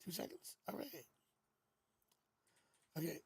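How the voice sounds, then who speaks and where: A man with a raspy voice hosting a casual live tarot stream, chatting playfully and joking through a new studio microphone. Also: fairly high pitch, big pitch swings, takes it slowly, a little mellow.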